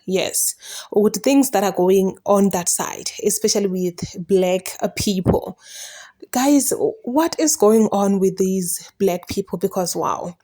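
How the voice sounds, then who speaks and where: A woman reacts in casual commentary, talking at an ordinary pitch. She hesitates a lot, filling gaps with "um" and "like" while she searches for her words. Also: a little mellow. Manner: hesitant, many "um" and "like".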